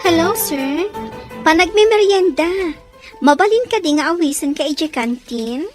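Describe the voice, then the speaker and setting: A woman's voice: very high-pitched, animated, unhurried, a little mellow, faint music behind. A woman playing a cheerful young secretary who giggles often and talks playfully. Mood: polite. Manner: bubbly, giggly.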